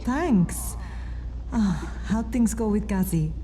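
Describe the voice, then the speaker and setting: A woman's voice: medium pitch, animated, slow, warm tone. A woman playing an easygoing, dry-humored survivor ally in a video game. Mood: friendly, dry. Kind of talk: video game cutscene acting. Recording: long hall reverb, faint noise.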